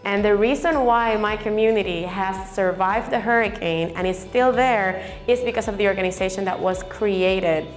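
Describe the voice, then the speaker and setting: A woman's voice: mid-range voice, moderate pace, few pauses, soft background music. A woman with a Puerto Rican accent, a native Spanish speaker, giving personal testimony for a campaign. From Puerto Rico. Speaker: city council candidate, former teacher.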